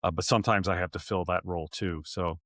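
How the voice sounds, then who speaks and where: Man, 40s, low voice, dry recording, chatty guest who loops back and self-corrects. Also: fairly even intonation, quick pace, fairly bright tone, no room sound. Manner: rambling, self-correcting, chuckling. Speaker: arena PA announcer, podcast guest. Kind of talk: casual interview chat.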